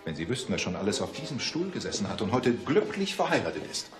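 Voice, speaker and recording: Oily matchmaker, low-pitched, lively intonation, pompous courtesy, radio drama, music behind. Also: male voice, fast. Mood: oily, reassuring. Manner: oily, over-polite, pompous. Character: unctuous, chatty male matchmaking agency assistant. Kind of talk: German radio drama acting.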